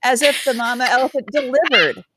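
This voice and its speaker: A woman's voice: high-pitched, expressive, a little fast, pushed and bright, slight background noise, low-quality recording. A woman co-hosting a podcast, telling stories in casual chat with wry asides. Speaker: podcast co-host.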